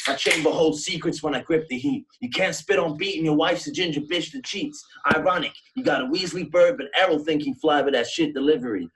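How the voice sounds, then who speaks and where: A man's voice: on the high side, a little fast, few pauses, far from the mic, noisy background. A man with a southern English accent delivering aggressive, insult-heavy battle rap verses. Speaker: battle rapper.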